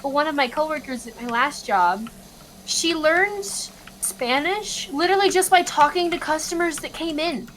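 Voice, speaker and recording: A woman's voice: sits high, lively intonation, normal speed, a bit bright, a little hiss or hum. A woman in her twenties chatting casually, explaining things and laughing easily. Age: 20s. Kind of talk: casual chat.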